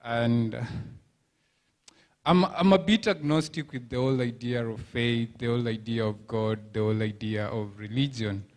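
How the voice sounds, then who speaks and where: A man's voice: medium pitch, moderate pace. A man from Kenya in the audience, asking a thoughtful question and searching for his words. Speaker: audience member.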